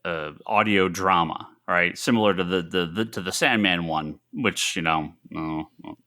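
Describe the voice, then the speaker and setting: A man co-hosting a casual comics podcast, talking haltingly, repeating words and acting out his own reactions. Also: deep voice, bright, forceful tone. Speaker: podcast co-host.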